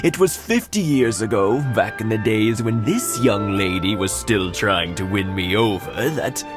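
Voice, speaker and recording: An elderly, hospitable villager in a video game. He speaks very slowly and genially, with a swinging, storytelling melody. Music runs clearly behind him. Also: lowish voice, animated. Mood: nostalgic, teasing. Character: elderly village husband, hospitable, fondly reminiscing. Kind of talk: English video game voice acting.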